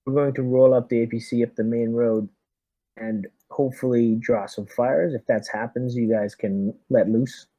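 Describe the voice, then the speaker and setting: Brief: male tabletop player, mellow mid-pitched voice, deliberate military briefing delivery, recorded dry. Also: fairly even intonation, no room sound, poor audio. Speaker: tabletop RPG player leading the squad as lieutenant. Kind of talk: tabletop roleplaying game session, giving orders in character.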